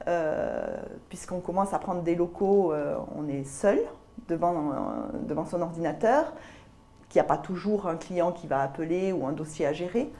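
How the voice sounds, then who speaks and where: A middle-aged woman with a southern French accent answering interview questions about her work, often pausing mid-phrase to pick her words. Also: fairly low pitch, warm tone. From south of France (Albi). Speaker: guest, business lawyer.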